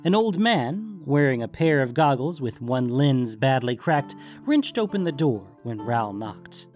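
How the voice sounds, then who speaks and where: A man's voice: medium pitch, sing-song, unhurried, darkish tone, soft background music. A man reading scripted fantasy story narration as the narrator.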